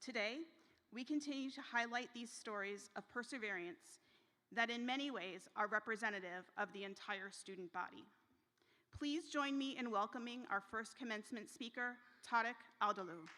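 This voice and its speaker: Woman, ceremony presenter, bright, formal delivery, echoing hall. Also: on the high side. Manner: measured, formal. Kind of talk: formal introduction. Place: large hall. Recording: reverberant hall.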